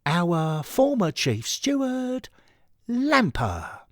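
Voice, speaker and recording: A man reading scripted story narration in a measured, deliberate way. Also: high voice, huge pitch swings, fairly bright tone, no echo, clean studio sound.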